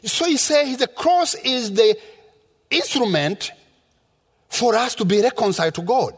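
A man's voice: very high-pitched, expressive, a little slow, slightly rough. A man from rural Rwanda with a Rwandan accent, preaching a sermon in an emphatic, teaching manner that leans on repetition. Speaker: guest preacher. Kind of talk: sermon with personal testimony. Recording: reverberant hall sound.

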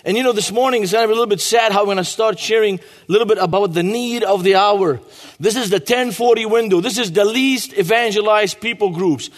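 Guest preacher, man in his 40s, high voice, long church reverb. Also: fast. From Lebanon. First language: Arabic. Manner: emphatic, repeats key phrases. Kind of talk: sermon.

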